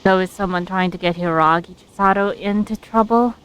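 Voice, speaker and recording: Female game streamer, smooth clear mid-pitched voice, casual halting live commentary. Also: a bit bright. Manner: halting, thinking aloud.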